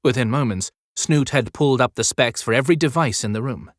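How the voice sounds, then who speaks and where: A man's voice: medium pitch, a little fast, dry recording. Male audiobook narrator, dry sound. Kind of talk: scripted story narration.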